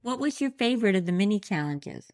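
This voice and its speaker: A woman's voice: mid-range voice, animated, quick pace, darkish tone, dry recording, clean studio sound. A woman hosting a casual interview, chatting with her guest and asking questions. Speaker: podcast host, interviewer.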